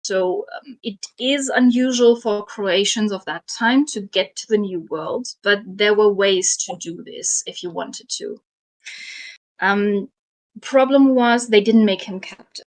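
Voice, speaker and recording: A young adult woman casually telling a history story, with frequent ums and soft laughs. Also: mid-range voice, a little slow, poor audio. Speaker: guest storyteller.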